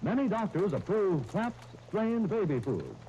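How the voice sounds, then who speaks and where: A man's voice: high-pitched, big pitch swings, a little slow, warm tone. A man reading a scripted 1930s radio commercial as an announcer, on a dull, faintly noisy old recording.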